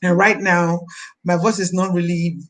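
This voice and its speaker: A woman in her thirties, a minister, chatting on a live stream. Her voice is deep this morning, and she talks in a friendly way, repeating phrases and blessing her viewers. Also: flat delivery, normal speed, fairly bright tone.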